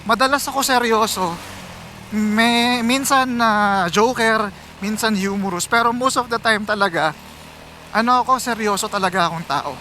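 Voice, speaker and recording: A Filipino man talks casually to his viewers while riding. His voice sits high and has a bright, sharp edge. He drops English phrases into his conversational flow, with some road noise behind him. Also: moderate pace. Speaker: motorcycle vlogger. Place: outdoors, riding a motorcycle on city roads.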